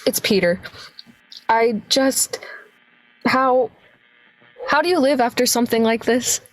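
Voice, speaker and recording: A woman's voice: on the high side, slow, poor audio. A woman playing a weary, dry-witted male small-town detective in an amateur radio play, speaking in a hesitant, sardonic way. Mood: grieving, lost.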